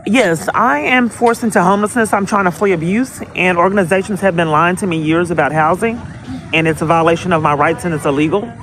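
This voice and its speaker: A woman's voice: lowish voice, brisk, fairly bright tone, a little hiss or hum, low-quality recording. A woman calling police for help, speaking insistently and repetitively and tending to talk over the other person. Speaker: caller to police dispatch. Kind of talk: phone call pleading for help.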